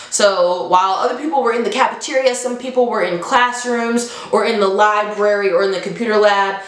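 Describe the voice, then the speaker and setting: A young adult woman telling a story to viewers in a casual, slangy, conversational way, dramatically re-enacting what people said. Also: medium pitch. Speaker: storytime vlogger. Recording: echoey room.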